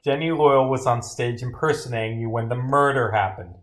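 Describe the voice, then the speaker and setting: A man's voice: medium pitch, brisk, lightly acted. A man voicing a bumbling detective in a visual novel, cocky and rambling, easily confused. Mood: confident.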